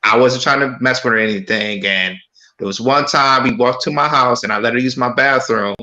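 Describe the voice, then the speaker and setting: A man's voice: medium pitch, fairly quick, bright, forceful tone, reverberant, muffled recording. A man who grew up in a small Michigan city, telling a personal story on a casual podcast panel, rambling and stammering through restarts. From Pontiac, Michigan.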